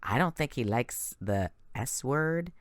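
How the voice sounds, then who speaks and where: A man's voice: mid-range voice, expressive, takes it slowly, no echo, studio quality. A man voicing a nervous, squeamish young satyr who speaks hesitantly. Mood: uneasy.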